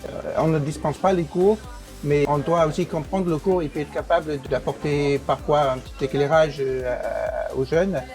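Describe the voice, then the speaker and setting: A man who is an English teacher and program director, answering interview questions with many pauses, fillers and restarts. English is his first language. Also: a little high, fairly even intonation, a little mellow, soft background music.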